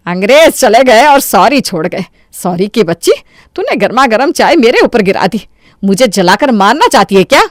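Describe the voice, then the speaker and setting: A woman voicing a spiteful, scheming middle-aged mother-in-law in a cartoon story, with a sharp, taunting, bossy delivery. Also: fairly high pitch, big pitch swings, moderate pace, no room sound, studio quality. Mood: angry scolding. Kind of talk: Hindi cartoon story dialogue.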